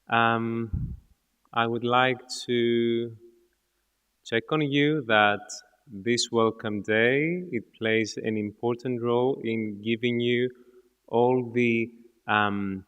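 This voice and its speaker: A man hosting a formal event, speaking in deliberate, halting phrases. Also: medium pitch, fairly bright tone, clean tone. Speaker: event host. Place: large indoor hall. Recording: echoey hall with long reverb.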